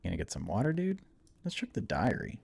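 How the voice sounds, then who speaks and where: A man's voice: fairly low pitch, huge pitch swings, a little fast, gravelly, darkish tone, husky. A man hosting a gaming video, reading on-screen story text aloud in a casual way and adding his own informal reactions. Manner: informal, reacts aloud between read passages. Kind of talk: casual let's play, reading game text aloud with commentary.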